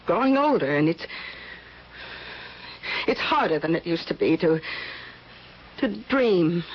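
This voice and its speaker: An actress playing a wealthy, aging female recluse lost in fantasy, speaking in a halting, dreamy, theatrical way in an old-time radio drama. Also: lowish voice. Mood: wistful. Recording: dull, muffled vintage radio recording.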